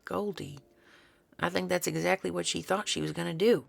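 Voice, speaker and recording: A woman's voice: on the low side, fairly even intonation, normal speed, gravelly. A woman playing a weary, sardonic and stubborn researcher in an audio drama, talking in a dry, blunt, casual way. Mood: sardonic, resolute.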